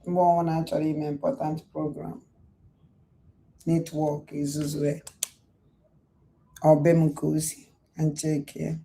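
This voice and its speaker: A man making quick, offhand remarks in casual talk, recorded from a distance. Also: fairly high pitch, little pitch movement, vocal fry, mellow.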